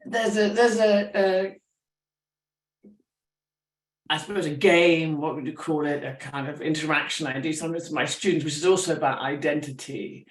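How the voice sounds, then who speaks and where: Roomy-sounding woman, thoughtful and animated. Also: low-pitched, normal speed. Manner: thoughtful, animated, frequent restarts. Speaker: guest writer and teacher. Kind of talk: conversational storytelling. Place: public talk event. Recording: roomy echo.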